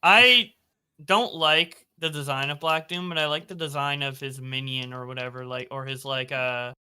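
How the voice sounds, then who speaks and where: A man speaks as a guest on a casual stream, his voice very bright and clear. He rambles with plenty of filler words and drops swears casually. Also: medium pitch, fairly even intonation, normal speed. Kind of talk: casual livestream chat.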